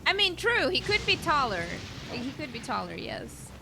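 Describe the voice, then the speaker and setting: A woman streams a game and reacts casually as she plays. Her voice is high and lively, with clear game ambience and noise behind her. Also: normal speed. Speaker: gaming streamer. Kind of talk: casual let's-play reaction commentary.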